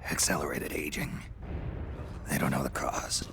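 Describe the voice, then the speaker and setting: An actor playing a gruff, weary, prematurely aged soldier with a gravelly rasp and terse, deadpan delivery. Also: male voice, a bass voice, moderate pace, whispered.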